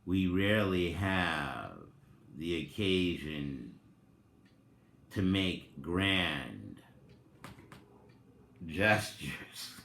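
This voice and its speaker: A man giving a dramatic reading, theatrical and deliberate, with drawn-out phrases and long pauses. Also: low-pitched, animated, vocal fry, a bit bright. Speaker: reader and commentator, presenter of the recording. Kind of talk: dramatic reading with informal asides. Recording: roomy, echoing sound.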